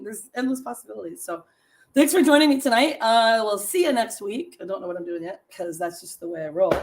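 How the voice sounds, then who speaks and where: Middle-aged woman, raspy voice, a touch high; casual live-stream host reading trivia to viewers. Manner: chatty, laughs often, reads facts and stories to viewers.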